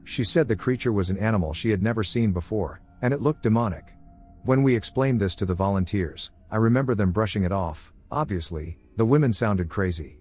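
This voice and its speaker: A man narrating listener-submitted scary stories as a calm, steady scripted read. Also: fairly low pitch, fairly quick, husky.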